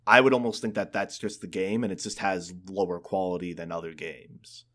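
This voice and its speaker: A man's voice: deep voice, brisk, a bit bright. A man co-hosting a podcast in a casual chat about video games. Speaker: podcast co-host.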